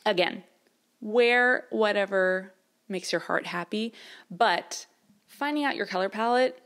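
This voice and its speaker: A woman, chatting casually to camera in a rambling way with fillers and small laughs. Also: medium pitch. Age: 30s. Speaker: vlogger. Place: her mother's house, indoors.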